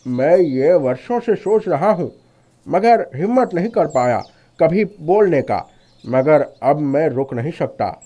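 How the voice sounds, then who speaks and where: A man puts on an apologetic landlord for a storybook tale. The voice sits fairly high for a man, and the delivery is hesitant and regretful but insistent. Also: lively intonation, a little slow, warm tone, highs cut off. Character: apologetic but firm male shop landlord. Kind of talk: animated moral story dialogue.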